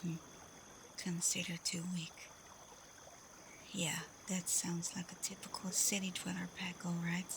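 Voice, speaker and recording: Woman playing a soft, low-voiced caring werewolf leader. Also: monotone, unhurried, long pauses, noisy background. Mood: sympathetic. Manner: soft, breathy, gently reassuring. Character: female werewolf pack leader, caring, protective, frank. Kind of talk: ASMR roleplay, soft intimate acting.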